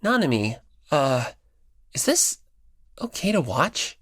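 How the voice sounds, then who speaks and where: A woman voicing casual high school boys, teasing or awkward, in an audiobook. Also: big pitch swings, slow, husky, no room sound, clean studio sound, heavily acted. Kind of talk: audiobook character acting.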